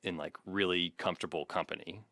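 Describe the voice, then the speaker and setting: A man's voice: deep voice, fairly even intonation, brisk, creaky, pushed and bright, no echo, studio quality. A man co-hosting a podcast in casual, conversational talk. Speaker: podcast co-host.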